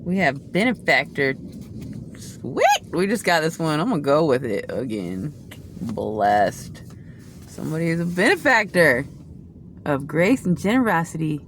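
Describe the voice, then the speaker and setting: A woman's voice: low voice, expressive, measured pace, noisy background. A woman giving a casual tarot reading, talking in a rambling, chatty way with many restarts and asides. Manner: says she has a loud voice. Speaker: tarot reader.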